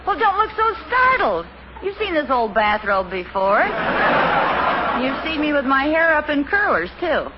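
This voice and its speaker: A dry, gently teasing sitcom wife in a 1950s radio comedy, her high voice rising and falling a lot. It is a dull old broadcast transcription, heavy with hiss and a studio audience. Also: sing-song, unhurried.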